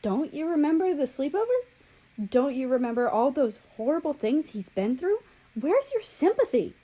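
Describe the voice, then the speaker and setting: Woman voicing an indignant, high-pitched teenage girl. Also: big pitch swings, normal speed, warm tone. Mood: offended. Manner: emphatic, offended, exclaiming. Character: indignant, protective teenage girl, fiercely loyal sister.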